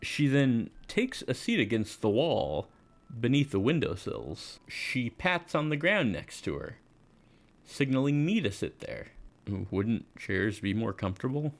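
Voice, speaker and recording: A man playing an awkward, mild high school boy, narrating in first person with a hesitant, understated delivery. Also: sing-song, measured pace, faint music behind. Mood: dry, understated. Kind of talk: first-person visual novel narration and dialogue.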